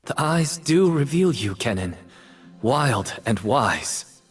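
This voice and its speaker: An actor playing a sorrowful, reflective artist mage in a video game, speaking in solemn, poetic lines. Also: male voice, medium pitch, lively intonation, takes it slowly, breathy edge. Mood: quietly probing.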